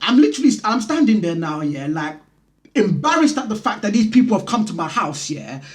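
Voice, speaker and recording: A man's voice: high-pitched, fairly quick. A man with a London accent hosting a vlog, telling a personal story in a casual, slangy, conversational way. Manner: conversational, slangy, animated retelling. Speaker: vlog host.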